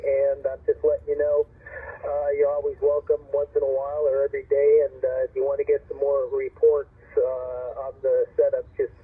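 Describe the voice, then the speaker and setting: A man's voice: medium pitch, husky. A middle-aged or older man chatting casually as an amateur radio operator, easygoing and welcoming, heard through a radio receiver. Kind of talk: casual two-way radio chat. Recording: narrowband, crackly ham radio transmission.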